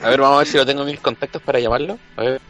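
A man's voice: mid-range voice, fairly even intonation, very fast, fairly bright tone, soft background music. A man from Chile with a Chilean accent, joking casually and crudely in thick slang. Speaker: comedy show panelist.

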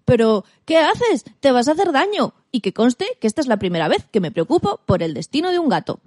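Lady as an easygoing blunt dog, kinda high but husky, pitch jumping all over. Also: very animated, a little fast, a little mellow, no echo, studio quality. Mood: alarmed, grudging. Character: easygoing, blunt dog who loves music. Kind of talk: radio theatre fairy tale acting.

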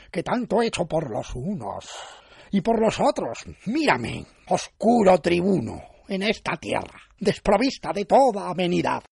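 A bitter, scheming Roman tribune from a historical story, acted out by a male narrator. The voice is high and thin, heavily creaky and husky, swinging widely as it declaims its grievances with great self-importance. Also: dry recording, studio quality, exaggerated voice. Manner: aggrieved, declamatory.

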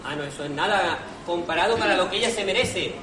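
A man's voice: sits high, fast talker, crowd noise, natural voice. A man playing a nervous, bumbling impostor fiancé in an amateur stage farce, stammering and repeating himself. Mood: flustered. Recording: distant echoey hall, noisy audience.

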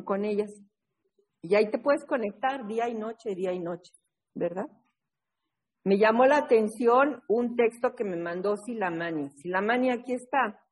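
A woman from New England leading an online recovery workshop, telling stories in a lively, casual way and often checking in with her listeners over a video call. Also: medium pitch, low-quality recording. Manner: lively, keeps checking in with a tag question. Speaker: recovery workshop leader and sponsor. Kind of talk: casual storytelling talk in an online support meeting.

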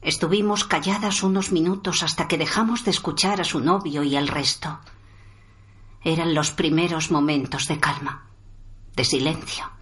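An actress playing a sombre, wounded woman in a radio drama, speaking solemnly and deliberately. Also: low-pitched, steady tone, a bit bright. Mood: sorrowful, bitter. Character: wounded, sombre woman, survivor of violent abuse. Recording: roomy echo under music.

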